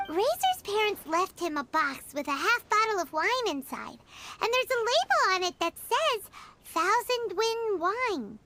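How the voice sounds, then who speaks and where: A woman's voice: extremely high pitch, expressive, measured pace, sharp tone, slight background noise, heavily acted. A woman voicing a tiny, cheeky, childlike fairy companion in a video game, chirpy and teasing.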